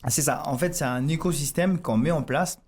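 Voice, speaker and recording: A man's voice: fairly high pitch, fairly quick. A man with a Tahitian accent, a fitness coach guest on a radio interview, explaining eagerly with many restarts and fillers. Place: radio studio.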